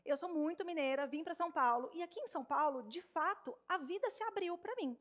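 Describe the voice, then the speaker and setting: High-voiced woman with a Minas Gerais accent. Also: a little fast. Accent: Minas Gerais Portuguese. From Minas Gerais, Brazil. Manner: warm, animated, addresses audience directly. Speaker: speaker, speech therapist. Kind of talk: motivational stage talk. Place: auditorium stage.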